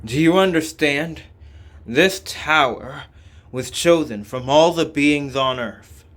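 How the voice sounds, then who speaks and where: A man reading a game script aloud dramatically, with slow, deliberate, halting delivery. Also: on the high side, a bit bright, slight background noise. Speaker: fan voice-over reader.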